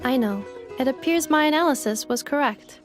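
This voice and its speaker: A woman voicing a calm, logical robot caretaker in a video game, with formal, matter-of-fact phrasing. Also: high voice, normal speed. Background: music.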